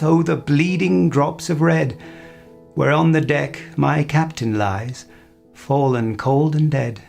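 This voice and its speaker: Slow, creaky-voiced man reciting poetry. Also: a little high.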